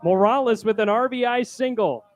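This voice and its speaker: A man calling live baseball play-by-play from a booth as announcer, projecting loudly on the calls. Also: extremely high pitch, moderate pace. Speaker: baseball play-by-play announcer, also doing public address. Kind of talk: live play-by-play sports commentary. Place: press booth at a ballpark. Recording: clear background noise.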